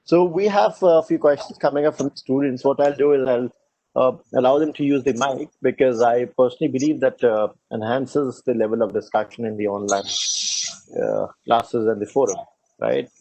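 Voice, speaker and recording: Courteous male moderator with a mellow voice. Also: medium pitch, moderate pace, no room sound, rough recording. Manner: courteous, fillers. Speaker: moderator of an online law school talk. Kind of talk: moderating a video-call Q&A. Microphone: video call.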